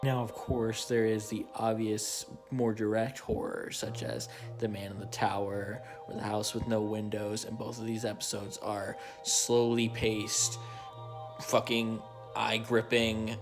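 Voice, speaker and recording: Low-voiced young man, casual horror commentary. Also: flat delivery, measured pace. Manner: informal, sweary, earnest. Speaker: video host, horror fan reviewer. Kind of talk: casual video-essay commentary. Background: clear background music.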